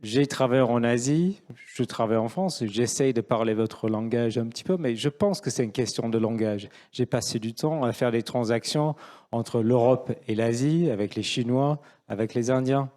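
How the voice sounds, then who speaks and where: A man's voice: medium pitch, moderate pace, mellow. A middle-aged man from England with an English accent, a native English speaker, explaining his view as a panel speaker. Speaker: panel speaker, finance professional.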